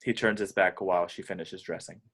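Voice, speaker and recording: A man's voice: low-pitched, fairly even intonation, fairly quick, a bit bright, slightly rough. A man narrating scripted stage directions at an amateur table read.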